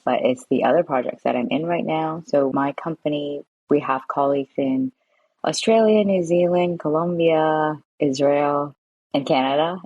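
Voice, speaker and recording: A low-pitched woman with a slightly husky voice speaks casually as a podcast guest. Her speech is loose and explanatory, full of small fillers. The recording is dry and close, like a video call. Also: a little mellow, no echo, muffled recording. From San Francisco, USA. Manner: explanatory, uses fillers like "kinda" and "like". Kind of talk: casual interview answers. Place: home or office, evening.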